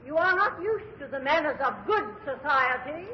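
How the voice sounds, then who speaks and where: A woman's voice: high voice, animated, moderate pace. An actress playing a haughty, indignant English society lady, declaiming pompously as in a stage comedy. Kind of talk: theatrical stage comedy within radio drama. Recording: echoing hall, muffled noisy old radio.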